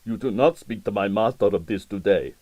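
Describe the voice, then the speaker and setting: Man playing a sulky, halting theatre dresser. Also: on the low side, warm tone, no room sound, lightly acted. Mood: pleading. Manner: halting foreign-sounding phrasing, clipped sentences. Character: sulky, jealous, wary male theatre dresser.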